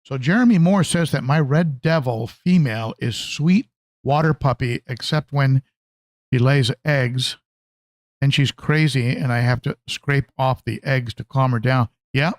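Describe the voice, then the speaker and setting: A man hosting a casual hobby livestream, talking conversationally and haltingly, often repeating phrases as he answers viewers. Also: mid-range voice, no room sound. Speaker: livestream host, aquarium hobbyist.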